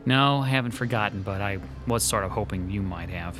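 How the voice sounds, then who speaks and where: A man's voice: fairly low pitch, a little fast, a bit bright, faint music behind, lightly acted. A man in his forties playing a struggling artist in a radio drama, weary and defensive with his landlord. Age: 40s. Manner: weary, defensive, mildly sardonic.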